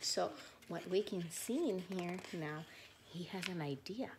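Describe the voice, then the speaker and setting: A woman teacher reading a children's storybook aloud, expressive and questioning, pausing to think out loud. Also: on the low side, background noise.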